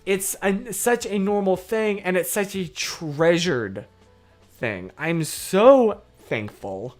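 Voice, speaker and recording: A hoarse young man hosting a livestream, chatting casually and rambling off topic with easy laughs. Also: sits high, expressive, takes it slowly, faint music behind. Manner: rambling, loses his train of thought; laughs easily. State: hoarse, voice worn out. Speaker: livestream host. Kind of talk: casual livestream chat, answering comments.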